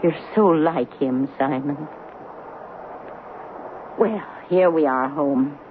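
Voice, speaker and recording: An actress playing a serene, shrewd, motherly woman in her eighties in a radio drama, knowing and gently teasing. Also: deep voice, slow. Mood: tender, hospitable. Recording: muffled narrow-band radio broadcast, noisy.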